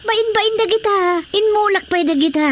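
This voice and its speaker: A woman's voice: very high voice, normal speed, slight background noise. An actress with an Ilocano accent playing a chatty, teasing village woman who loves gardening in a radio drama comedy. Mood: chatty, encouraging.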